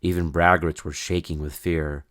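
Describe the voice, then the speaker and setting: A man narrating a rhyming children's story in an expressive, theatrical way. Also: low-pitched, normal speed. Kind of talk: dramatic rhyming children's story read-aloud.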